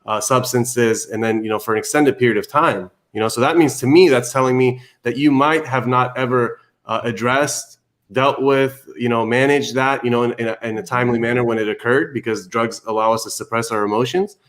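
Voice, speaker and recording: A man from Iran speaking as a family therapist, giving empathetic advice in a halting, reassuring way, often repeating words. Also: medium pitch, steady tone.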